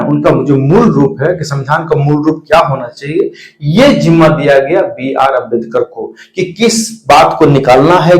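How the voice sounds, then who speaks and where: Echoey, higher-pitched man presenting. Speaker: video presenter. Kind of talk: explainer talk to viewers. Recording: echoey room.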